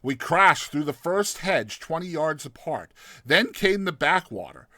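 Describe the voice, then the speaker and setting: Male narrator, higher-pitched and very varied in tone, theatrical delivery of classic fiction, dry close recording. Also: measured pace, dry recording. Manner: theatrical, expressive storytelling. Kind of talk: dramatized literary short story reading.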